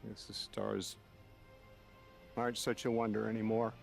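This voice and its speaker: An actor voicing a bewildered man displaced centuries from his own time, halting and searching for words, in a video game cutscene. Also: fairly low pitch, vocal fry, faint music behind. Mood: wistful. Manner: anguished and halting when grieving, folksy and rambling when relaxed.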